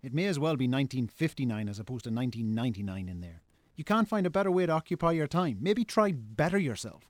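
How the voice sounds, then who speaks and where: An actor playing a reproachful, sensible Irish brother in a naturalistic radio drama, speaking in a colloquial, chiding way. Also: male voice, mid-range voice, sing-song, quick pace, dry recording, lightly acted. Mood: reproachful, scornful.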